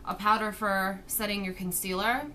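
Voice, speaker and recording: A young woman with a slightly hoarse voice from a sore throat, chatting through a makeup tutorial in her bedroom, recorded on a webcam. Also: medium pitch, flat delivery, normal speed, bright, forceful tone, a little hiss or hum. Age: young adult. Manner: chatty, explains each step while applying makeup. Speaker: beauty vlogger. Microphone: webcam at desk distance.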